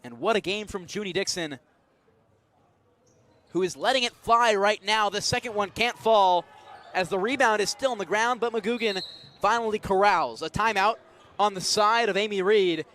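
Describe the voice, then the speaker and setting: Young male radio play-by-play announcer, high-pitched, energetic, descriptive basketball commentary. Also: moderate pace. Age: young adult. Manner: energetic, descriptive call of the action. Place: college basketball arena, live courtside call.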